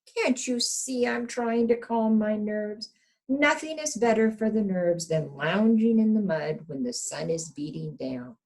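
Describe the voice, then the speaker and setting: A woman's voice: medium pitch, lively intonation, unhurried, darkish tone, voice pushed up, low-quality recording. A woman voicing a lazy, nervy storybook pig with a put-upon manner.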